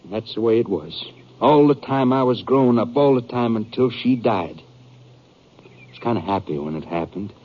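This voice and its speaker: An actor playing a bitter, brooding western outlaw in a 1950s radio drama, speaking in halting, rustic, confessional fashion. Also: male voice, medium pitch, lively intonation, close to an everyday voice. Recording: dull 1950s radio recording.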